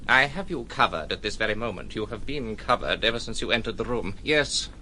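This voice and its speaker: An actor playing a cold, self-important gentleman outlaw, speaking formally and ominously in a radio drama. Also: lowish voice, expressive, a little fast, slight background noise. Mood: menacing, haughty.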